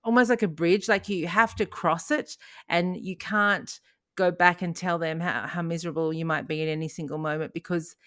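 A middle-aged woman talks in a relaxed, meandering way, restarting her sentences and laughing often. Her voice sits low for a woman, and the recording is close and dry. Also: normal speed, dry recording. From Australia. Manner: rambling, frequent restarts and laughs. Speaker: podcast co-host. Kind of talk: casual chat.

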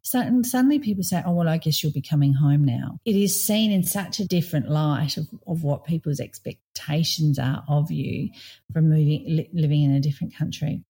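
A woman's voice: sits low, fairly quick, warm tone, husky. A woman in her forties with an Australian accent, co-hosting a casual chat and telling personal stories with many asides. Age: 40s. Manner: chatty, storytelling, frequent "you know" and restarts. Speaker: podcast co-host.

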